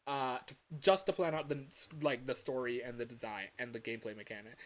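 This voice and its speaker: Man, mid-pitched voice, casual livestream chat, hesitant and halting, game developer, noisy recording with keyboard clatter. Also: fairly even intonation. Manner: hesitant, halting, thinking aloud.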